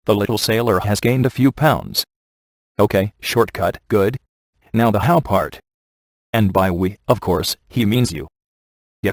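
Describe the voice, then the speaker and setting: A man playing a wisecracking, sardonic ghost hunter in a video game, with a deadpan, offhand delivery. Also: fairly low pitch, no echo, natural voice. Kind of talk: video game voice acting, comic one-liners.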